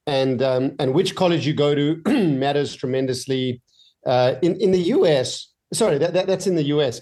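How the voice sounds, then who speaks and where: A man in his forties from South Africa with a mixed South African and Australian accent, chatting as an interview guest from his home office, with lots of fillers and restarts. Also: on the high side. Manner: relaxed, many fillers and restarts. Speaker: guest entrepreneur. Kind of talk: casual interview chat.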